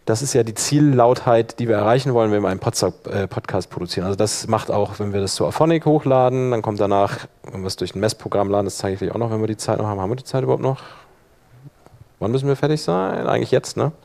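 A man leading a workshop, explaining informally and thinking aloud while he operates the software. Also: on the low side, fast talker, gravelly. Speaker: workshop instructor.

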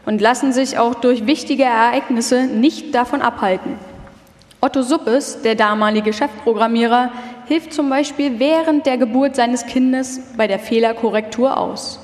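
A female narrator with a fairly high voice delivers a scripted documentary live. The sound has the long reverberation of a large hall. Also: darkish tone.

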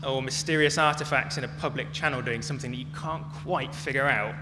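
A man giving a prepared public talk as a speaker, telling stories in a measured, dramatic way. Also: medium pitch. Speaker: conference speaker. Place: large hall, talk to an audience. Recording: echoey hall with music behind.

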